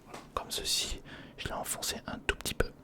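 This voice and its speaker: An ASMR host whispering breathily and close to the mic, thinking aloud to the listener through a step-by-step build. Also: brisk. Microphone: close recorder or studio condenser microphone.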